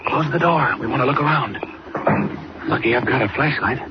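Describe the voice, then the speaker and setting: An actor with an American accent playing a brisk, resolute investigator hero in a 1940s radio serial, urgent and authoritative. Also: male voice, mid-range voice, lightly acted. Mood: intent. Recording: muffled, very noisy old radio recording.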